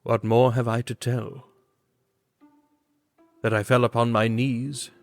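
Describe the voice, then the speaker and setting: A man giving a grave, theatrical reading of a literary story as an audiobook narrator. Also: medium pitch. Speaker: audiobook reader. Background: background music.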